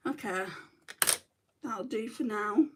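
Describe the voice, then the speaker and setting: A woman's voice: fairly high pitch, slow, a little hiss or hum. A middle-aged woman with a northern English accent, chatting casually through a makeup livestream, reading out comments and muttering to herself as she works. She says she is in back pain. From northern England. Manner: chatty, self-deprecating, mutters to herself while working.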